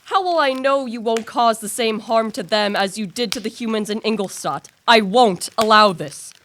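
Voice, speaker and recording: A woman's voice: on the high side, moderate pace, fairly bright tone, slight background noise, natural voice. A woman acting a troubled, proud young male scientist in a radio play, formal and solemn. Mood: defiant.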